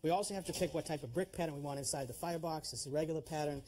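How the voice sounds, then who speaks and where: A man's voice: fairly high pitch, racing, heavy background noise. A middle-aged man giving a plain-spoken, instructive demonstration as a home improvement heating expert. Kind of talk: hands-on explanatory demonstration.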